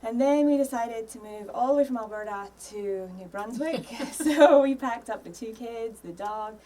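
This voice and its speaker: A woman's voice: a little high, normal speed, mellow. A woman in her thirties with a Scottish accent, a podcast guest chatting easily in a studio, laughing often and dropping in "um" and "yeah". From Scotland. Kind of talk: casual interview chat. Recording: voice a little distant from mic.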